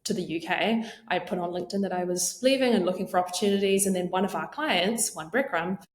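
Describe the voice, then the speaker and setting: A woman's voice: fairly low pitch, fast talker, echoey room. A young adult woman with a New Zealand accent, chatting casually as a podcast guest with frequent ums. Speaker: podcast guest, marketing lead. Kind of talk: casual interview chat.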